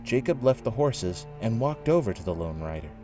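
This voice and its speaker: A man narrating a scripted audio drama in a measured storytelling manner. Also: on the low side, very animated, warm tone. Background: music.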